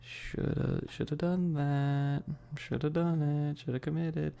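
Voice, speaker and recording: Very creaky, soft ASMR streamer. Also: mid-range voice, steady tone, takes it slowly. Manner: soft-spoken, close whispery delivery; rambling, informal, teasing asides to chat. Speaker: livestream host. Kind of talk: casual ASMR livestream chat. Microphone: close binaural microphone. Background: tapping and keyboard clicking.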